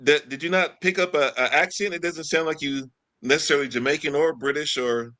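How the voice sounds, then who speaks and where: Bright-voiced man asking halting questions. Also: fairly high pitch. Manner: halting, many fillers and repeats. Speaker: podcast co-host. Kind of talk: interview questions.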